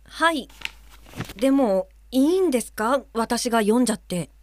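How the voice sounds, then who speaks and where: A woman playing a soft-spoken guide helper. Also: on the high side, lively intonation, moderate pace, slight background noise, close to an everyday voice. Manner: soft-spoken, reads aloud carefully. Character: gentle woman guide for the blind. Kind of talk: radio drama acting.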